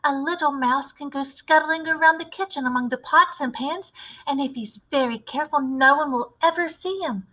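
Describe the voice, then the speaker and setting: Here is a small, brave mouse who was once a boy, acted out by a woman reading a storybook. The voice is high and bright, with an earnest, excitable eagerness. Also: moderate pace, low-quality recording. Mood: thoughtful.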